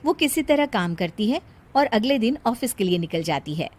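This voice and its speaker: A woman's voice: on the high side, sing-song, normal speed, a little mellow. A woman narrating a cartoon moral story in a plain, scripted storytelling manner.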